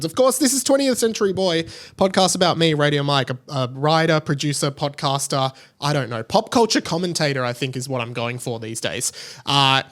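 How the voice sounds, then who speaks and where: A man's voice: medium pitch, fairly bright tone. A young Australian man hosting a solo podcast, chatting casually in a rambling, self-deprecating way. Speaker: podcast host.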